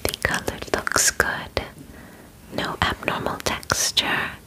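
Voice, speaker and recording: Woman as alien examiner, soft whisper, extremely unhurried, clinical, formal, methodical, close-up ASMR roleplay. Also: close to an everyday voice. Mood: calm, clinical. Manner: slow, formal, gently methodical, precise wording. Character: calm clinical alien examiner inspecting a human. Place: small bedroom, indoors. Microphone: close microphone in front of camera.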